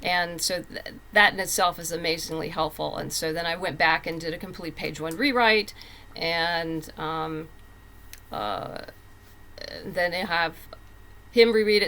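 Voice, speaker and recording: A woman's voice: lowish voice, bright, forceful tone, a little hiss or hum. A woman speaking as a writer guest in a casual interview, candid, emphatic and plain-spoken.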